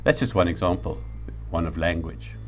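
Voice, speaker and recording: This is a mature South African man with a low-pitched voice. He speaks in a reflective lecturing style, deliberate and emphatic in how he lays out each point. Faint music sits behind his words. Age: middle-aged or older.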